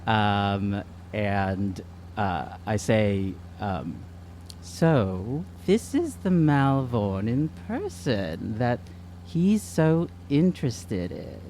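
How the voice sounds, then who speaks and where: A man's voice: lowish voice, sing-song, drawn-out words, warm tone, slight background noise. A man playing a tabletop role-playing game, talking casually in and out of character.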